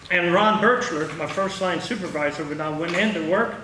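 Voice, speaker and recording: A man with a Midwestern American accent and a bright, forceful, slightly high voice gives an informal talk. He rambles and halts as he reminisces, jumping from thought to thought. He is picked up from a distance in a roomy space. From small-town Indiana, United States. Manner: rambling, halting, jumps between thoughts. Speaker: retired air traffic controller giving a talk. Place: echoey meeting room at a historical society. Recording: roomy, distant mic with faint noise.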